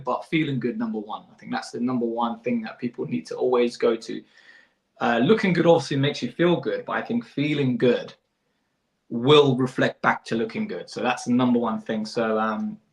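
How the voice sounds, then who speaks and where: Creaky-voiced man in a roomy space. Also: mid-range voice. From born in Iraq, moved abroad as a young child. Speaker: fitness coach and business owner. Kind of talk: casual informative interview answers.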